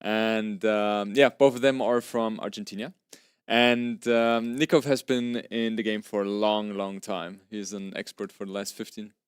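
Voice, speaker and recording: Clear, conversational male esports commentator. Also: on the low side, flat delivery, measured pace, no room sound, studio quality. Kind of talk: live tournament commentary.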